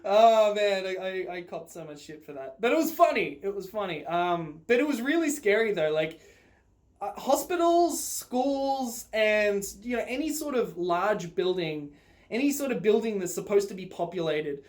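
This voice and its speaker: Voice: mid-range voice, lively intonation, normal speed, darkish tone. Casual, rambling, sweary vlogger. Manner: rambling, informal, sweary, often breaking into laughter. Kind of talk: casual personal storytelling to viewers.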